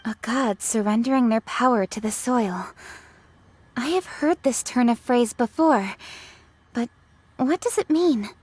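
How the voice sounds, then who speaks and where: A woman's voice: on the high side, measured pace, long pauses, a little mellow, slightly rough, no room sound. An actress playing a curious, thoughtful young woman in a fantasy video game, speaking in a pensive, formal, questioning way. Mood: pondering. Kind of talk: video game cutscene acting.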